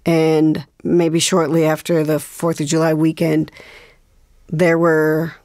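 Female interview guest, low register, reflective and hesitant, dry recording. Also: monotone, slow pace, a little mellow, no room sound. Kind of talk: reflective conversation.

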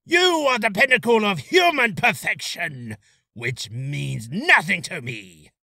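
A man voicing an imperious alien warrior villain who shouts proclamations for a voice reel. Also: high voice, sing-song, slow, vocal fry, dry recording, studio quality, exaggerated voice. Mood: imperious, furious. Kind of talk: character voice reel.

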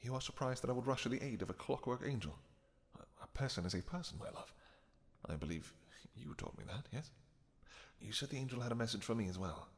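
A man playing a suave male vampire king, smooth, flirtatious and dryly amused, in an audio roleplay. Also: mid-range voice, lively intonation, a little fast, natural voice. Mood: thoughtful. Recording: long reverb with music behind.

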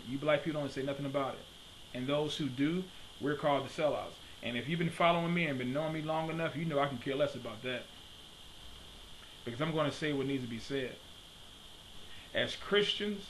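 A man's voice: medium pitch, moderate pace, a bit bright, slight background noise, poor audio. A man in his thirties from Detroit, giving an emphatic preaching-style commentary to a webcam in his home study and repeating his questions for force. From Detroit, USA. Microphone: webcam at desk.

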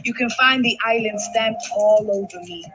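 A woman in her thirties performing her poetry in a rhythmic, expressive spoken-word style. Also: on the high side, moderate pace. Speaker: poet. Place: studio. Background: music.

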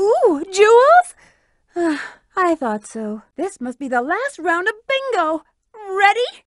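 A confident, flirtatious female bat treasure hunter from a video game. Her voice is high and swoops around with teasing, sultry sass. The recording is dry and close, with no room around it. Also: no echo. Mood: excited, gleeful, then curious, playful. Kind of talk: video game character voice acting.

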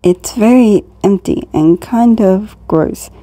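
A woman's voice: medium pitch, slow pace, mellow, no echo. A woman voicing a wary, aggrieved young male turtle in a fan comic dub, wordy and dry in manner.

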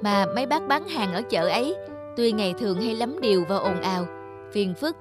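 A woman's voice: medium pitch, expressive, normal speed, over music, lightly acted. A woman voicing a contrite, polite young male scooter rider in a cartoon, hesitant and deferential. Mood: earnest. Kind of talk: cartoon voice acting.

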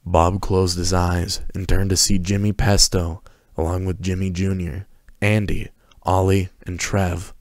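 A man's voice: deep voice, little pitch movement, measured pace, darkish tone, no echo, studio quality. A man narrating prose fiction as a dramatic reading.

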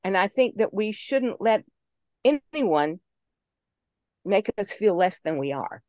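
A woman's voice: medium pitch, very animated, slow, darkish tone, no echo. Brassy, playful woman over fifty, dry sound. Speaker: guest actress. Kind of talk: video call interview. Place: home room.

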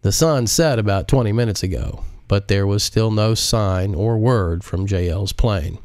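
A man reading his own novel aloud in a relaxed live audiobook stream, with chatty asides. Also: lowish voice, a little slow, dry recording, clean studio sound.

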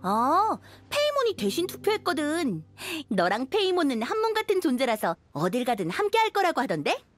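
A woman playing a spirited, upbeat young woman musician in a video game, friendly and expressive. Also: high voice, moderate pace, faint music behind.

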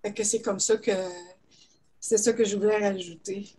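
A woman's voice: medium pitch, level tone, moderate pace, warm tone, slightly rough. An older woman adds a reflective comment on a video call, asking herself questions as she talks. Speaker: participant. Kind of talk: casual comment in a discussion. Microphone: earbuds on a video call.

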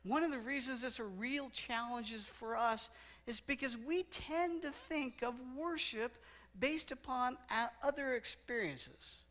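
Middle-aged guy pastor preaching in a church, walks around, leans on words and repeats them slow. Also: very high-pitched, pushed and bright. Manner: preaching while walking the stage, gesturing broadly; repeats and stresses words, slows down for emphasis. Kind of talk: sermon. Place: church sanctuary.

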